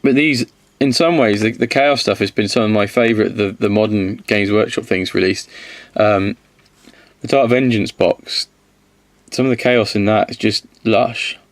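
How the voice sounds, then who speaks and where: A man with a slight cold-hoarse voice, casually reviewing miniatures, rambling and correcting himself as he goes. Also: on the low side, moderate pace, dry recording. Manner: rambling, self-correcting, counts aloud.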